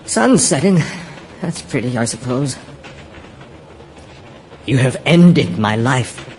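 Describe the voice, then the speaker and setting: Gloomy uneasy businessman, slow halting bits, brooding, stage play, bit of noise behind. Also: medium pitch, expressive. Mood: melancholy, uneasy. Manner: halting, brooding, short phrases. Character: uneasy, melancholy businessman longing for home. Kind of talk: audio stage play dialogue.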